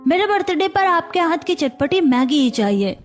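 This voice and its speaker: A woman's voice: extremely high pitch, very animated, normal speed, soft background music. A woman voicing an eager, cheerful young schoolchild in a cartoon story. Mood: excited. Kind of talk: cartoon child acting.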